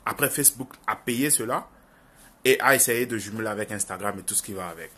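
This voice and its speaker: A man's voice: on the low side, brisk, sharp tone, husky. A young man with a Francophone African accent giving an informal opinion monologue to viewers, speaking earnestly and insistently and repeating phrases for emphasis. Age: young adult. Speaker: online video commentator.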